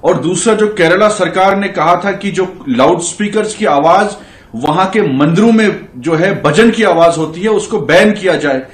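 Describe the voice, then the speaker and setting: A man's voice: sits high, normal speed, roomy sound. A man with an Indian accent arguing his side insistently in a heated TV panel debate. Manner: argumentative, insistent. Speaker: political party spokesman.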